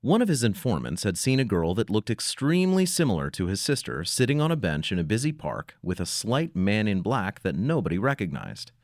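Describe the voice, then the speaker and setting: Deep, animated male storyteller. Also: fairly quick, hardly any pauses, dry recording, studio quality. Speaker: narrator. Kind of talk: scripted storytelling narration.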